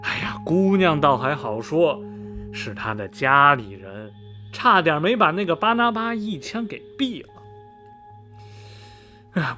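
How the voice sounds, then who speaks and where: A gossipy middle-aged townsman in a radio drama, acted by a man. His voice sits fairly high and swoops expressively through sighing exclamations. He is skeptical yet repelled, stretching phrases for effect. Faint music plays behind him. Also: bright, forceful tone, full character voice. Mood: uneasy, disgusted. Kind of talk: radio drama acting, animated storytelling.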